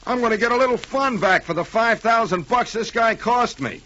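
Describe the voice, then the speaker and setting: A man's voice: extremely high pitch, animated, moderate pace. A man playing a blunt, wisecracking sidekick in a 1940s radio drama. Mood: vengeful. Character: scrappy male sidekick with a grudge. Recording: dull, hissy 1940s radio recording.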